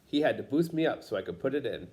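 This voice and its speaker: A man reading a children's picture book aloud as a storyteller, pausing to explain things to young listeners. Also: mid-range voice, expressive, a little mellow. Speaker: storybook reader.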